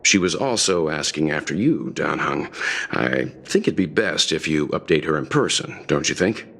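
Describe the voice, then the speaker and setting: An actor voicing a calm, measured older male mentor in a video game, speaking reflectively. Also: rumbling low, gravelly, exaggerated voice. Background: game music.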